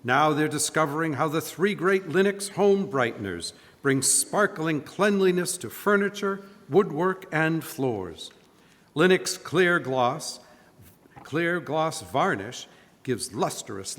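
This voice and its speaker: A man's voice: a little high, big pitch swings, slow, a bit bright, full character voice. A man playing an old-time radio announcer, delivering scripted sponsor copy and dramatic narration in 1940s style. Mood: salesmanlike. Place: church, live stage performance. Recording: live in echoey hall.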